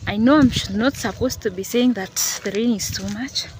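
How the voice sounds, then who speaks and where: A woman's voice: on the high side, normal speed. A young Kenyan woman vlogging to a phone at arm's length outdoors in green countryside, talking earnestly and repeating herself as she pleads. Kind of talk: selfie vlog to camera. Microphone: phone held at arm's length. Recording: outdoor phone recording with clear background noise.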